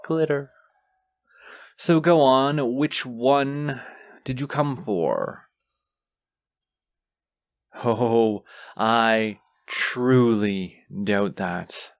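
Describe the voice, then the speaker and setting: A man playing a wounded, haughty dragon in a fantasy audio roleplay, with theatrical, condescending, drawn-out phrasing. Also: mid-range voice, dry recording.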